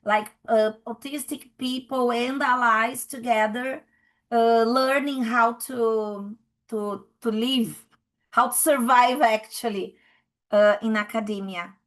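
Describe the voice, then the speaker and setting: Middle-aged Brazilian woman, anthropologist on a panel; Brazilian Portuguese accent in English, slightly higher voice, unhurried, halting with restarts. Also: steady tone. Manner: halting, many restarts and fillers. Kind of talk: panel talk.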